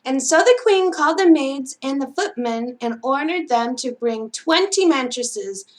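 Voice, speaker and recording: A woman's voice: high-pitched, lively intonation, unhurried. A woman reading a storybook aloud to children as a storytime narrator. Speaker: children's storytime reader at a library.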